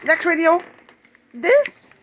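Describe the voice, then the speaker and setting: Someone casually showing off a collection in a home-made vlog, talking in a halting, rambling way. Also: very high voice, sing-song, a bit bright, slight background noise. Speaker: video creator showing a radio collection. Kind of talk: casual show-and-tell vlog.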